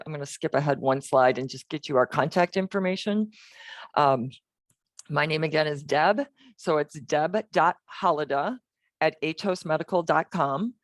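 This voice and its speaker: A woman's voice: low-pitched, no echo. A woman giving an informal webinar presentation as a medical device company representative.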